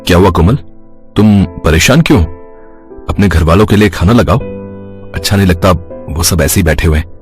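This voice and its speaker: An actor playing a protective, concerned young husband in a moral-story cartoon, speaking earnestly. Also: male voice, low voice, fairly quick, long pauses, soft background music, lightly acted.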